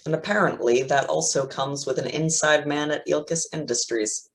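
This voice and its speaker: A man's voice: fairly high pitch, moderate pace, slight background noise, lightly acted, rough recording. A man reading the part of an arrogant, cruel tech villain in a staged play reading, with a sneering, mocking delivery. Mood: gloating.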